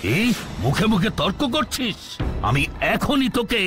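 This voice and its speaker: A furious, haughty forest hermit in a cartoon. His voice is high and swoops widely as he declaims and accuses, with music behind him. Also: big pitch swings, measured pace. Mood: furious, menacing. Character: wrathful proud hermit sage who lays a curse. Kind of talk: theatrical cartoon voice acting.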